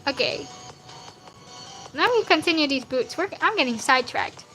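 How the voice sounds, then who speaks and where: A woman's voice: high-pitched, animated, normal speed, bright, forceful tone, faint music behind, low-quality recording. A nineteen-year-old woman with a youthful voice that sounds younger than her age, chatting casually in a slangy, rambling, self-deprecating way as she streams her drawing. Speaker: streamer drawing fan art live. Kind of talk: casual livestream chat while drawing.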